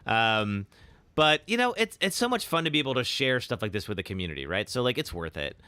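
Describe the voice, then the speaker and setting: A young man, slightly raspy today, chatting casually while live streaming a game and answering chat. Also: medium pitch, very animated, fairly quick, no room sound. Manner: chatty, reacts with sudden loud exclamations; filler-heavy, answers chat. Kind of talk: live gameplay commentary.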